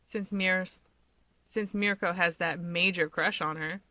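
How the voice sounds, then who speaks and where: Woman as sly middle brother in storybook fiction, young, practical, teasing, bright tone, no room sound. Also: fairly low pitch, steady tone, slow, dry recording.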